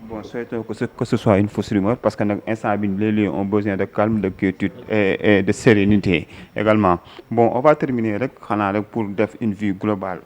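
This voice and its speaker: A man's voice: fairly low pitch, fairly even intonation, a little fast, darkish tone. A man asking a football analyst loose questions in an interview. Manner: loose questions mixing French and Wolof. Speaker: journalist.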